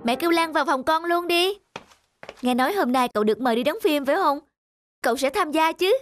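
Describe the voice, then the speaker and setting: A woman's voice: high-pitched, animated, a little fast, soft background music. A woman voicing an eager, friendly young female student in a cartoon, speaking expressively. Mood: curious. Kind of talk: dubbed cartoon dialogue.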